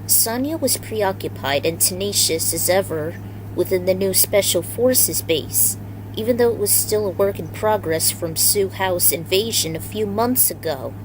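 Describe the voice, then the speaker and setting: A woman's voice: medium pitch, animated, a little slow, noisy background. A woman reading scripted fiction aloud as the narrator.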